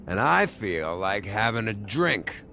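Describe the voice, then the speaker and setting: Gravelly, drunken, belligerent loudmouth. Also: male voice, fairly low pitch, very animated, takes it slowly, soft background music, exaggerated voice. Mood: bitter, mocking. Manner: sardonic, needling, drawling, swears constantly. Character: drugged, drunk, belligerent, foul-mouthed man spoiling for a fight. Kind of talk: video game voice acting.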